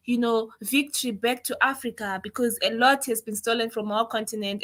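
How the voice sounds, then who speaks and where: A woman's voice: a little high, a bit bright. A young Zimbabwean woman with a Zimbabwean accent, a native Shona speaker, speaking earnestly with conviction as a guest on a livestream interview. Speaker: guest content creator.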